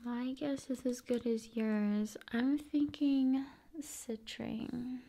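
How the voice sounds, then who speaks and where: A soft-spoken woman hosting an ASMR video, musing casually in short, paused phrases and often slipping into whispers. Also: fairly high pitch, steady tone, creaky. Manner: unhurried, pausing between short phrases.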